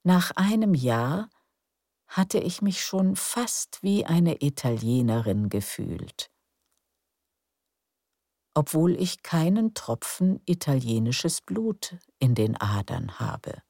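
A woman narrating a calm bedtime story in a slow, soft, soothing way. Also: low-pitched, no room sound, studio quality.

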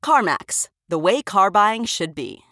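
A woman reading a scripted commercial in a smooth, upbeat manner. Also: mid-range voice, big pitch swings, measured pace, a bit bright, dry recording, studio quality. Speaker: ad announcer.

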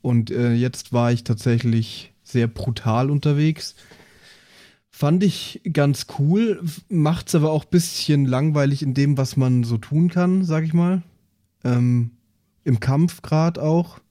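Mellow, halting man in casual chat. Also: on the high side, no room sound, studio quality. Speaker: player on a role-play podcast.

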